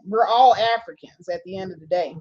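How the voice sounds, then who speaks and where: A woman's voice: medium pitch, brisk, a little mellow, highs cut off. A woman hosting a casual live stream. She reads viewer comments aloud, answers them, and laughs often. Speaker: channel host reading live chat.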